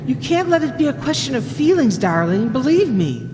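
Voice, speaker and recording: An actress playing a loyal, inquisitive, level-headed detective's assistant in a radio drama, expressive and full of questions. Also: female voice, high voice, moderate pace, loud music behind the voice. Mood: soothing, reasoning. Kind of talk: old-time radio detective drama acting. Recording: reverberant hall, noisy ambience.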